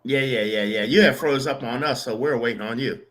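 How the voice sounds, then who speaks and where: A man's voice: medium pitch, brisk, fairly bright tone, slight background noise. A man hosting a casual live interview, talking informally and often repeating his words. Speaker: show host.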